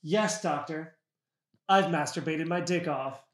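A man's voice: fairly high pitch, unhurried, a bit bright, echoey room. A man playing an earnest, sheepish patient in a comedy sketch, speaking with mock seriousness. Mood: earnest, grave. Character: earnest, sheepish male patient making an absurd confession.

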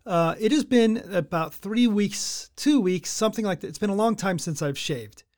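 A middle-aged man with a high-pitched voice hosts a casual podcast. He jokes crudely and rambles, restarting his sentences as he goes. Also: animated, normal speed, darkish tone. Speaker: podcast co-host. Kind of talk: casual banter.

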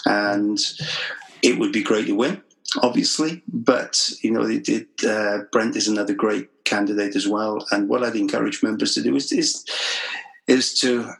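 A middle-aged man from Wales speaks with a Welsh accent and a fairly low voice. He answers a podcast host's questions modestly, with a reflective and dry wit. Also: fairly even intonation, moderate pace, gravelly, a bit bright, rough recording. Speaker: guest. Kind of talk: podcast interview.

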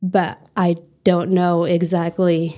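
A woman's voice: lowish voice, flat delivery, slow, dark tone. A young woman from the United States answering interview questions in an earnest, reflective way, with many fillers. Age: young adult. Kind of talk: oral history interview.

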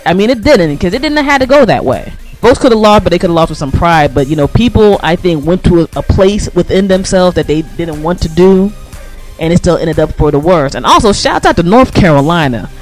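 A man's voice: high voice, lively intonation, brisk, soft background music. An American man co-hosting a radio show, giving casual political commentary in an emphatic, colloquial, slangy way.

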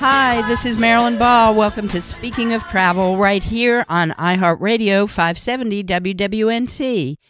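A woman hosting a radio travel show, introducing her guest in a bright, welcoming announcer delivery. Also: mid-range voice, normal speed, few pauses, over music.

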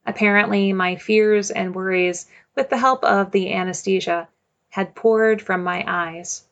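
Creaky-voiced woman telling a personal story. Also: mid-range voice, steady tone, fairly bright tone, dull audio. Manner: measured, reflective, with a repeated refrain.

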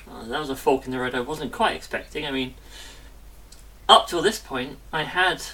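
A woman in casual podcast chat, her voice bright and forward in tone. She talks in quick bursts, restarting and circling back, and her voice comes from a little way off the mic. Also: a bass voice, far from the mic. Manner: chatty, self-interrupting, lively. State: recovering from illness. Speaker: podcast co-host.

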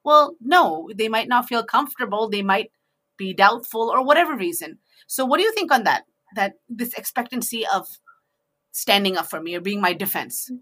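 Female discussion host, bright tone, conversational and emphatic, sharing advice informally. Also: mid-range voice, lively intonation, moderate pace. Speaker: host in a two-person discussion.

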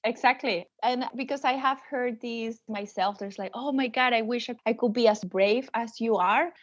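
Venezuelan-accented woman guest, higher voice, dry muffled remote audio. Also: moderate pace, dry recording. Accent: Venezuelan Spanish. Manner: animated, laughing often, small restarts. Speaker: podcast guest. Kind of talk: casual interview chat.

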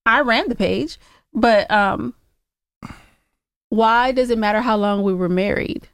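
A woman's voice: a little high, unhurried, clear, resonant tone, no room sound, clean studio sound. A woman co-hosting a podcast, reading listener questions and chatting casually in a teasing, playful way. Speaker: podcast co-host.

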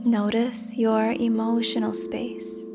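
A woman reading a script as a meditation guide, with a soft, deliberate, instructional delivery. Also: a little high, level tone. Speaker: meditation guide and podcast host. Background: background music.

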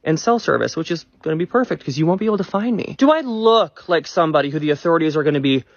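A man's voice: on the high side, animated, quick pace, no echo. A young man ranting in a casual video, flippant and exasperated. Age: young adult. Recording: dull, lo-fi clip.

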